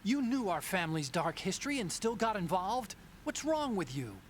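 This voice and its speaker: An anxious young male engineer from a video game. He hesitates and stammers often. His pitch swings widely as he talks. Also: very animated, moderate pace, background noise.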